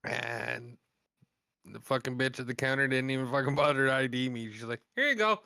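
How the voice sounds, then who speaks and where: A man's voice: medium pitch, fairly quick, gravelly, bright, forceful tone, dry recording, studio quality. A man in his thirties telling loose, profanity-heavy stories in a casual podcast chat. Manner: heavy swearing, loose storytelling. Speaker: podcast co-host.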